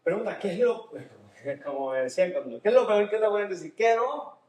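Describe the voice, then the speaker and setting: A man's voice: high-pitched, animated, fairly quick, darkish tone. A man with a Puerto Rican Spanish accent mixed with some Nicaraguan, chatting casually as a therapist co-hosting a podcast. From Puerto Rico. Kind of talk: casual conversational podcast talk. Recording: roomy, echoey sound.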